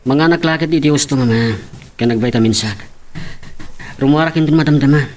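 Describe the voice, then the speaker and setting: A man's voice: a little high, a little fast, darkish tone, natural voice. A man playing a sullen, irresponsible young husband in an Ilocano radio drama. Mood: weary, dismissive.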